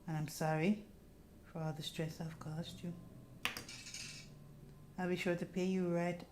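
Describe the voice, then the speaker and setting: A woman voicing a calm, apologetic granddaughter in a horror visual novel, speaking softly and in a measured way. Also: lowish voice, noisy background, pitched below the performer's own voice, close to an everyday voice. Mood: resigned, apologetic. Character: Nina, calm, apologetic granddaughter doubting the caretaker.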